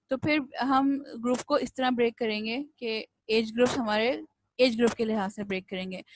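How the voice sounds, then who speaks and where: Rapid-fire woman coordinator. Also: on the high side, no room sound, poor audio. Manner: rapid, mixes Hindi-Urdu and English. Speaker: course coordinator. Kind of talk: online class orientation. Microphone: video call.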